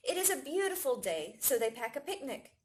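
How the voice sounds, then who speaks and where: Animated woman reading a children's story. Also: sits high, a little fast. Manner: expressive, storytelling to young children. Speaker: library staff storytime reader. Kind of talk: children's picture book read-aloud.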